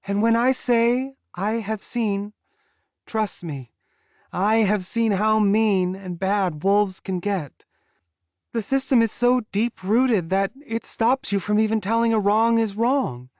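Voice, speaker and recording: High-pitched, emotional young she-wolf, voiced by a man. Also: slow pace, a little mellow, no echo, dull audio. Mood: anguished. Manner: emotional, pleading, earnest. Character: tearful young female werewolf confessing her painful past.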